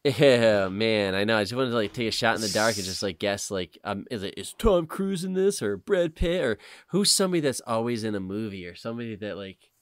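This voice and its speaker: Man, lowish pitch, crisp clear voice, casual podcast co-host, thinks aloud, swears freely. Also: lively intonation, fairly quick, few pauses, no room sound. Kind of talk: casual chat, guessing game among friends.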